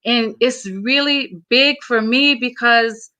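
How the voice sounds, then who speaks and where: A woman's voice: on the high side, takes it slowly, pushed and bright. A woman from Samoa, whose first language is Samoan, speaking as an interview guest in an earnest, emphatic way, often repeating her words. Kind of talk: casual interview.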